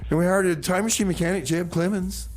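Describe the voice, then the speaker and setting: A man's voice: sits high, fairly even intonation, quick pace. Casual male radio host over background noise. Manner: rambling, repeats phrases, says "man" casually. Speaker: community radio show host. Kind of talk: casual music show presenting with comedy banter. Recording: noticeable background noise, ambience.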